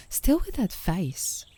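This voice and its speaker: A woman playing an affectionate, teasing girlfriend in an intimate ASMR roleplay, speaking softly and soothingly, often in a whisper, close to the microphone. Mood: playful coaxing. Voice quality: soft, breathy, often whispering. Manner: soothing, playfully coaxing, with small chuckles. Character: gently coaxing, teasing, affectionate girlfriend on a park date.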